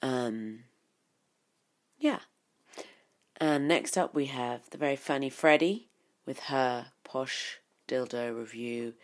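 A woman's voice: extremely low pitch, takes it slowly, long pauses. A woman hosting a podcast, introducing guests in chatty, eager, rambling talk. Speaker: podcast host. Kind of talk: casual chat.

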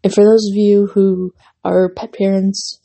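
A woman's voice: mid-range voice, flat delivery, a little slow, creaky, warm tone, slightly rough. A young woman hosting a casual solo podcast, rambling with many fillers and restarts while she is losing her voice and has a runny nose. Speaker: podcast host.

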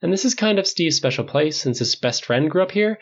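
A man voicing a brash, impatient rich man who talks fast and rambles defensively. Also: fairly high pitch, lively intonation, muffled recording, pitched above the performer's own voice. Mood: awkward rambling.

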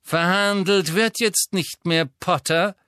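A man voicing a cold, aristocratic male villain who mocks his enemy slowly and pointedly. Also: high-pitched, steady tone, sharp tone, clear, resonant tone, no room sound, clean studio sound, pitched above the performer's own voice, heavily acted. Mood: sneering. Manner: sneering, mocking, slow and pointed.

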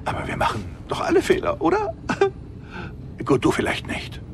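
An actor playing an excitable, soft-hearted gambler pilot, an alien, in a game audio drama, chummy and pleading. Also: male voice, very high-pitched, normal speed, vocal fry, noisy background, exaggerated voice. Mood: pleading, remorseful. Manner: chummy, pleading, wildly swooping delivery. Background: music.

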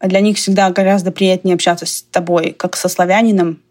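A woman's voice: lowish voice, level tone, a little fast, a little mellow. A woman from Russia talking casually in a conversation, chatty and digressive, often laughing as she talks.

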